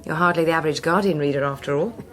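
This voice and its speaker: An actress playing a clever young Englishwoman in political circles in a radio drama, with a quick-witted manner. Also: deep voice, fairly bright tone. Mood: bantering. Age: young adult. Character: clever, bantering young Westminster woman.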